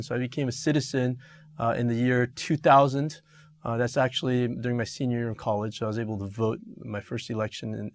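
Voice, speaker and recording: A middle-aged man teaching an exam review lesson, talking with many pauses, fillers and restarts. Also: mid-range voice. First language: Korean.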